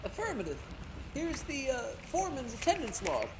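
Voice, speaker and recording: A woman's voice: sits high, wildly swooping pitch, moderate pace, noisy background. A woman playing a perky young rookie police officer in an old-time radio drama, pert and slangy.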